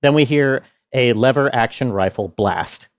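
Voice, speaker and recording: Slow-talking man, close dry recording. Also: mid-range voice, dry recording. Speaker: sound designer presenting own work. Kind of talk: behind-the-scenes walkthrough.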